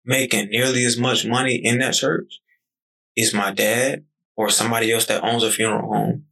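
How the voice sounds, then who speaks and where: A man's voice: medium pitch, fairly even intonation, moderate pace, vocal fry, bright, forceful tone, low-quality recording. A young man in his twenties talking casually and animatedly on a podcast, swearing freely as he tells stories. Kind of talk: casual conversation.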